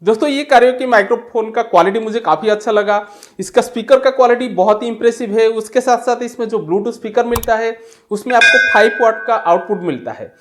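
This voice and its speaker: A man's voice: extremely high pitch, fairly quick, fairly bright tone, a little hiss or hum. A man giving a product demonstration and review, explaining plainly step by step.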